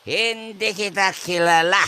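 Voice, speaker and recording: A drunken old husband in a radio comedy, muddled and stubborn. His voice is high and forceful, dragging slowly through slurred, theatrical exclamations. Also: steady tone, smooth, heavily acted. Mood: indignant, dismissive. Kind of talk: comedy audio drama acting.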